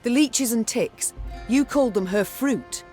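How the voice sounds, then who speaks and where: A woman's voice: on the high side, a little slow, darkish tone, natural voice. A woman playing a proud, commanding queen in a fantasy game, speaking in a formal, pointed way. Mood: curious, composed. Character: proud, commanding warrior queen questioning a mercenary. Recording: background music under the dialogue.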